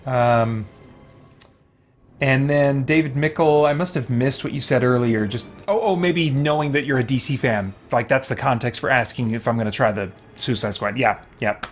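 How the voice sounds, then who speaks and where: A man live-streaming a game, talking casually and haltingly as he thinks aloud and reads out viewer comments. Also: medium pitch, faint music behind.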